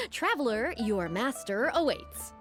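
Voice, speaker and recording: A woman's voice: high voice, wildly swooping pitch, takes it slowly, a bit bright, soft background music, full character voice. A woman voicing an anxious, easily exasperated young female opera troupe manager in a video game, flustered and pleading. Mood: flustered bluffing.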